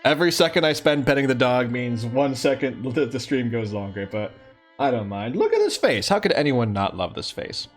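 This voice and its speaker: A man's voice: mid-range voice, very animated, moderate pace, faint music behind. A man hosting a livestream, chatting casually and affectionately with his viewers. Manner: affectionate, cooing to a pet. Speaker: livestream host.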